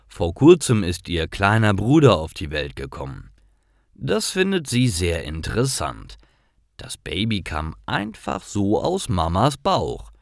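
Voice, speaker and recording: A man narrating simple scripted stories for language learners in a careful, expressive way. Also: low-pitched, creaky, no echo, studio quality. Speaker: storyteller for German learners.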